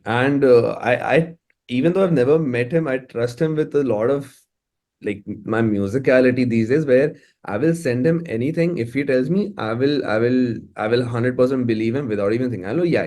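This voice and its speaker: A man's voice: medium pitch, moderate pace, darkish tone. A man with an Indian accent, chatting casually and effusively as a music producer being interviewed. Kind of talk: casual, conversational interview talk.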